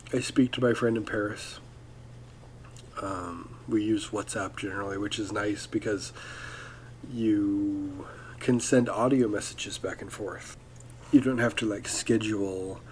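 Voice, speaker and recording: A man's voice: fairly low pitch, slight background noise, rough recording. A man hosting a casual solo podcast, talking in a loose, rambling way full of ums and restarts. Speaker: podcast host.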